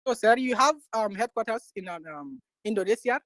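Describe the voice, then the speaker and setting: A man's voice: high voice, expressive, normal speed, sharp tone, no room sound. A man from India with an Indian accent, asking a question on a voice chat, polite and hesitant, saying sir again and again. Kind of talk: casual voice-chat Q&A.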